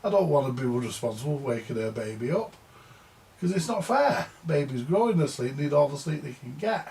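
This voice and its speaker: Gravelly-voiced older man rambling. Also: on the high side, lively intonation, a little fast, a little mellow. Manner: rambling, repetitive, grumbling, swears casually. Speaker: vintage audio repairer. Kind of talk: rambling casual monologue to camera.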